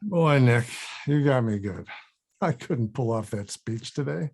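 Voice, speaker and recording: A man acts out an older actor, weary and wry, in a comedy-drama table read. He talks to an old friend in a loose, conversational way, at a middling male pitch. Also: expressive, measured pace, darkish tone. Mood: wry, tired.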